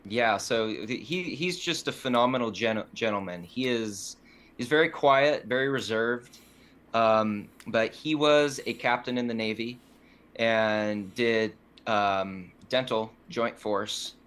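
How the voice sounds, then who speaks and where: A man's voice: mid-range voice, slow pace, a bit bright. A young man, a musician guest on a podcast, telling stories in an easygoing, self-deprecating way. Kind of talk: casual interview chat.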